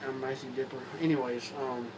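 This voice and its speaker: A young man from Kentucky talks in a Southern accent, his voice a little hoarse from a cold. He rambles in an even, flat tone, restarting his sentences often. He sits back from a camera mic, with steady noise humming behind him. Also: mid-range voice, recorded from a distance. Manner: rambling, halting with repeats and fillers. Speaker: vlogger. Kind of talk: casual talk to camera. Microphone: phone or camera mic.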